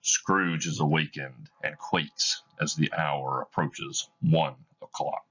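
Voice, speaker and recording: A male teacher reading a play aloud for a class, explaining slowly and deliberately. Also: rumbling low, steady tone, fairly bright tone, highs cut off.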